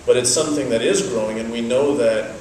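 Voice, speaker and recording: A man's voice: mid-range voice, steady tone, darkish tone, slight background noise. Man with native Dutch, very echoey and distant. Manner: measured, explanatory, thoughtful pauses. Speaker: interviewee, business owner. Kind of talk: casual interview answers. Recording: very echoey, from a distance, faint ambience.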